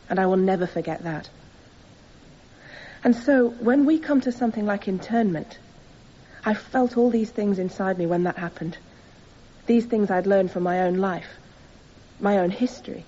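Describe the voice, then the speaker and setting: A woman's voice: medium pitch, mellow, muffled recording, slight background noise, close to an everyday voice. A woman playing an earnest, defiant young defendant giving impassioned, emphatic testimony in a radio courtroom drama.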